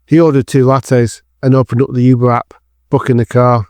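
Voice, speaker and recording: A man's voice: medium pitch, level tone, a little fast, darkish tone, no echo, clean studio sound. A man reading scripted narration for an audio drama as its narrator.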